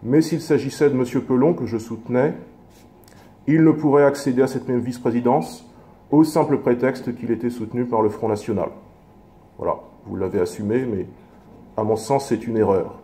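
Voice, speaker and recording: Mellow-voiced man giving a formal council speech. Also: medium pitch. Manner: measured, formal, halting phrasing with self-corrections. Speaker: opposition local councillor.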